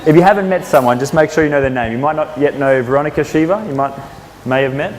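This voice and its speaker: A man's voice: fairly high pitch, quick pace. A man hosting a networking event, chatting with the audience and asking the room questions. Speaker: event host. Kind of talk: casual facilitating at a networking event. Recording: long reverb in a hall, faint room noise.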